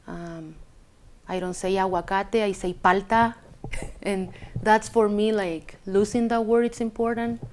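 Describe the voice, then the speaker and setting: A woman with a Chilean Spanish accent speaks slowly in a mid-range voice. She is a native Spanish speaker, reflecting casually and personally, with pauses as she searches for the right word in English. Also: mid-range voice, steady tone, darkish tone, slight background noise. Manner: hesitant, searching for English words. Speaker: interviewee telling a personal story.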